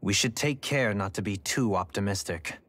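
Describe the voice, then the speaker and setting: A man's voice: fairly low pitch, fairly bright tone. A man playing a formal, cautious scholarly nobleman in a fantasy video game cutscene. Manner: formal, measured wording.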